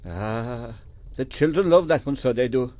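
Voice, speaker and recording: An actor playing a genial, boastful old island storyteller with a Kerry Irish accent, in a folksy radio drama style. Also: male voice, medium pitch, measured pace, darkish tone, lightly acted. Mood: wistful. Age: older.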